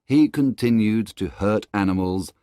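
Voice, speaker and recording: A man's voice: fairly low pitch, unhurried, dark tone, clean tone, dry recording, studio quality. A man reading a scripted children's tale as its storybook narrator.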